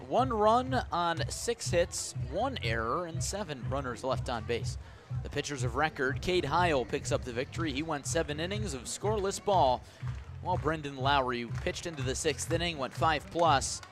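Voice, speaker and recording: A man doing live baseball play-by-play as a radio announcer. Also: mid-range voice. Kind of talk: live radio sports commentary.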